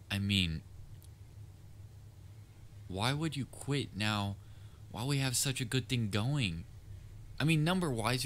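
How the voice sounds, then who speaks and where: A man playing a theatrical supervillain who is soft-hearted and anxiously fond of his heroic nemesis, in an earnest, halting roleplay delivery with wry banter. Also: mid-range voice. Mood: shocked, pleading. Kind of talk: comfort roleplay audio acting addressed to listener.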